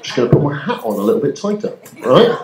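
A man's voice: on the high side, big pitch swings, fast talker, crowd noise. A man performing a stand-up comedy routine to a live audience, with a wry manner and a mock forecast-reading delivery. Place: community centre hall, live audience. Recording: echoey hall with background noise.

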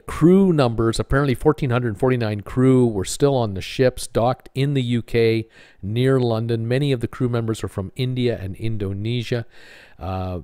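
A North American man delivers informal news commentary as a channel host. His voice sits on the low side, and he talks haltingly, pausing often to find his words. The sound is dry and close, with little room around it. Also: a little mellow, dry recording.

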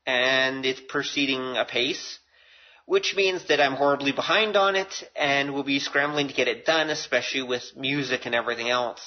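A male podcast host talks casually and alone in a middling pitch. He rambles in a self-deprecating way, and the recording is a little dull and lo-fi. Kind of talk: casual solo podcast talk. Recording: dull, lo-fi audio.

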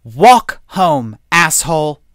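An icy young woman spacer, put on by a male audiobook narrator. She talks in short, hard lines, set higher than his own voice. The sound is dry and close. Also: big pitch swings, measured pace, no room sound. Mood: cold. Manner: cold, clipped. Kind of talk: audiobook character voice.

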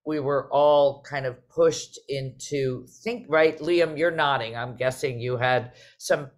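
A woman's voice: rumbling low, slow. A middle-aged woman hosts a panel conversation over a video call. She is enthusiastic and poses her questions in a conversational way. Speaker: host, theatre artistic director. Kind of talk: conversational interviewing.

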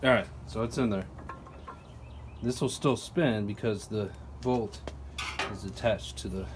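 A man casually explaining a tool setup while working with his hands, chatty and relaxed with plenty of ums. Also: on the low side, measured pace, background noise. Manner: relaxed, chatty, lots of ums and you-knows. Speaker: product reviewer. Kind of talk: casual how-to narration.

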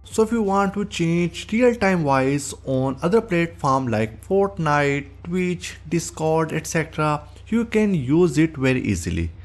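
A man's voice: fairly high pitch, very animated, measured pace, few pauses. A man hosting a tech tutorial, reading scripted narration as a voice-over. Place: voice-over recording. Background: background music.

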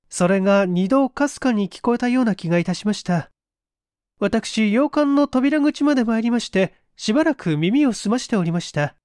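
Man putting on a reserved upper-class wife, cool, formal, higher feminine pitch, smooth voice, mystery audiobook. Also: darkish tone, dry recording, clean studio sound. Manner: cool, formal, guarded, few words.

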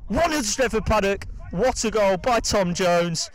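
A man's voice: high voice, lively intonation, a little slow, low-quality recording. A man giving enthusiastic football match commentary.

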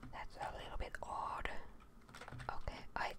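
A young woman whispering casual, sweary ASMR commentary as she plays a video game, muttering to herself close to the microphone. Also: measured pace.

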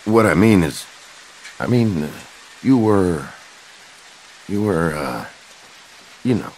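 An actor playing a kindly, awkward middle-aged man in a game drama, with a Southern American accent and a folksy, halting way of talking. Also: low voice, expressive, darkish tone, a little hiss or hum, low-quality recording. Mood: awkward, teasing. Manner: folksy, halting, fumbling for words. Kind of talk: naturalistic dramatic game dialogue.

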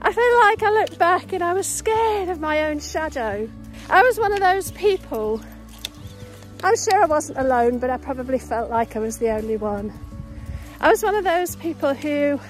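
A woman's voice: high voice, expressive, moderate pace, soft background music, rough recording. A woman in her late fifties talking reflectively to camera, recorded outdoors on a phone held at arm's length. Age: late 50s. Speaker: vlogger. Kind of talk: personal reflective vlog to camera. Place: outdoors in open countryside on a walk. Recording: clear outdoor background noise.

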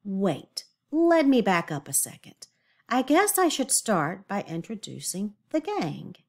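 A woman teacher reading a picture book aloud to young children in an expressive, deliberate way, pausing to explain and ask questions. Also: mid-range voice, creaky.

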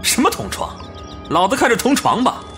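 A man's voice: extremely high pitch, huge pitch swings, normal speed, a bit bright, faint music behind, exaggerated voice. A man playing a coarse, jealous, hot-tempered young bandit chief in a Chinese period audio drama, with a sneering, swaggering manner. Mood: angry mocking.

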